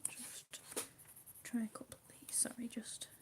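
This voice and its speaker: A woman chatting casually with her viewers while she colours, speaking softly, often half in a whisper and a little hesitant. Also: unhurried. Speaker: livestream host.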